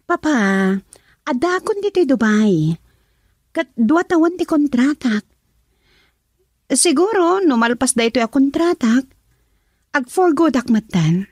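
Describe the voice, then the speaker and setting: A woman's voice: high voice, sing-song, slow pace, long pauses, a little mellow, no echo, studio quality, full character voice. A woman with an Ilocano accent playing a cheerful young daughter working abroad, in a radio drama. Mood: warm. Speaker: daughter character.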